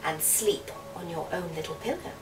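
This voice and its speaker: Woman voicing a croaky, insistent little storybook frog. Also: on the low side, expressive, normal speed, roomy sound, pitched below the performer's own voice, natural voice. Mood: eager. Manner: pleading, insistent. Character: small male talking frog, eager and insistent. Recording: distant mic, music behind the voice.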